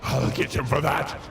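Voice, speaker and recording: A man voicing an arrogant, vengeful male alien parasite villain in a video game, with a gravelly, sneering, mocking delivery. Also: fairly high pitch, distant mic, heavily acted. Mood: taunting. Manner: mocking, gloating taunts. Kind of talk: live voice acting over gameplay. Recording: echoey with loud game music. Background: game music.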